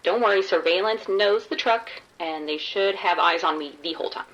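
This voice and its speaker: A woman's voice: medium pitch, normal speed, a bit bright, lo-fi sound, natural voice. A woman playing a wry undercover policewoman in a radio drama, talking casually and matter-of-factly. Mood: uneasy, businesslike. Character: wry undercover policewoman posing as a street sex worker.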